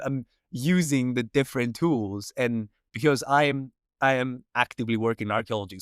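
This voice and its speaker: A man's voice: medium pitch, no room sound, clean studio sound. A young man hosting a podcast, chatting in an easygoing, conversational way. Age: young adult. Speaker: podcast host.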